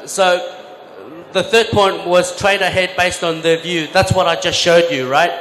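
A man's voice: high voice, fairly even intonation, a little slow, a bit bright, slight background noise. A middle-aged man giving a live seminar talk through a handheld mic, explaining trades with examples and correcting himself as he goes. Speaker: conference speaker, former bank trader. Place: large expo hall stage. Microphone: handheld microphone. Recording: echoey hall with faint ambience.

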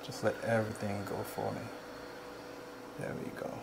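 A man working as a chiropractor, giving a client calm, short, repeated instructions in a soft-spoken way. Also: lowish voice, normal speed, gravelly. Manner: calm, repetitive short cues. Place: small indoor treatment room. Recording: noisy room ambience.

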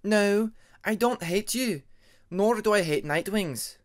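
Man voicing a formal female ice dragon, raised pitch. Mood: stern, sincere. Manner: formal, measured, sincere.

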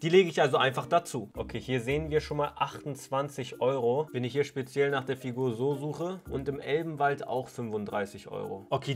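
A man in his twenties chatting casually to camera as a vlogger, in an animated, slangy way. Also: on the high side. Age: 20s.

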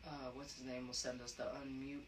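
A woman's voice: very deep voice, flat delivery, brisk. A woman chatting casually off camera about work arrangements, away from the phone that records her. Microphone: off camera, far from the phone. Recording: distant off-mic voice, loud noise.

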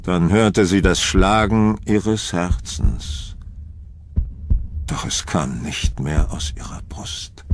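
A man's voice: deep voice, slight background noise. A man giving scripted narration of a horror story.

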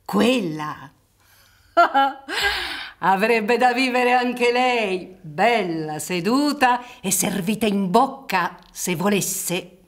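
A lively, mocking village woman. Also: medium pitch. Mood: scornful, animated. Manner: theatrical, drawn-out words, scornful laughs. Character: brisk mocking village woman, abandoned wife, cynical. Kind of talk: radio drama acting. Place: theatre stage, radio drama recording.

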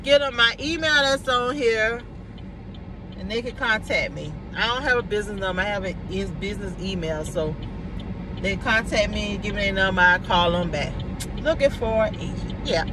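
A woman's voice: medium pitch, distant mic. A middle-aged woman from South Carolina, vlogging casually while she drives and rambling to herself. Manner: chatty, rambling, talks to herself while driving. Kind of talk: casual car vlog. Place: inside a car, driving. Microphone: phone mounted on the dashboard. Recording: phone in a car with loud road noise.

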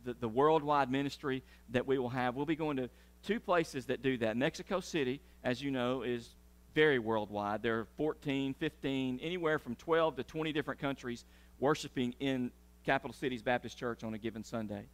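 A middle-aged pastor speaks to his congregation, moving about the stage as he talks. He is animated and uses lots of gestures, with a voice of middling pitch. He is recorded on a clip-on mic in a large church sanctuary. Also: a little fast. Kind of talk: sermon with church announcements.

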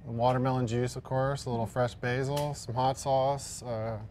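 A man's voice: medium pitch, normal speed, slight background noise. A man in his forties, a guest chef on a TV kitchen set, talking loosely with lots of ums while he cooks, on a lapel mic. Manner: relaxed, loose, with many ums. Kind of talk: casual cooking demo chat.

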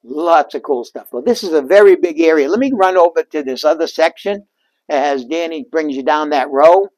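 A man's voice: a little high, normal speed, a little mellow, dry recording, low-quality recording. Older man giving an upbeat showroom tour. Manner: enthusiastic salesman patter, addresses viewers directly. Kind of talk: walking showroom tour presentation. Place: large indoor furniture showroom. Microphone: lapel mic.

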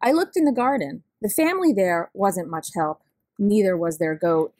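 A woman reading a picture book aloud expressively, with deliberate delivery and dramatic pauses. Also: medium pitch, expressive, a little mellow, no room sound, clean studio sound. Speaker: storybook reader.

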